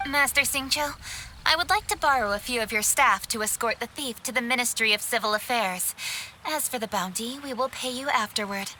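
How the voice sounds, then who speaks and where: A woman's voice: fairly high pitch, brisk, sharp tone, a little hiss or hum, rough recording. An actress playing a stern, duty-bound young woman official in a video game, firm and composed.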